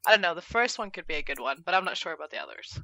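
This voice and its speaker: A woman's voice: on the low side, expressive, racing, vocal fry, bright, forceful tone, dry recording. A woman chatting casually and informally as a podcast co-host, speaking her opinions freely. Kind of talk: casual group chat.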